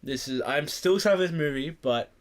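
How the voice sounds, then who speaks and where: A man's voice: on the high side, fairly quick. A young man hosting a casual podcast chat about film news, with a rambling, jokey, sweary manner. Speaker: podcast host.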